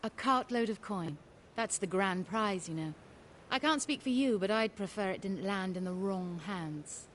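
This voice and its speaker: An actress voicing a poised, cunning noblewoman in a video game, genteel, measured and persuasive. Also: medium pitch, slight background noise, natural voice. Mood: sly, coaxing. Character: poised, cunning noblewoman schemer, coaxing and sly. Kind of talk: video game dialogue acting.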